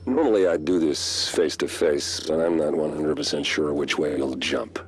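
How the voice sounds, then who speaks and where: Very deep, smug rogue agent villain. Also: male voice, normal speed, a little hiss or hum. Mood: smug, taunting. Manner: taunting, conversational. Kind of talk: video game monologue.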